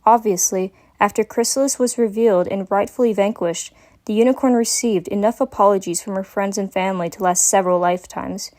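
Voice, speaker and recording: A woman's voice: medium pitch, fairly quick, darkish tone. A woman giving a dramatic reading of a scripted story as its narrator.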